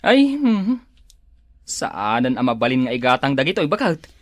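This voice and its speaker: A grumbling elderly husband in an Ilocano radio drama, acted by a woman. The voice sits low and rises and falls freely, complaining and exclaiming, in a dry close recording. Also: big pitch swings, normal speed, no echo, exaggerated voice. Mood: indignant.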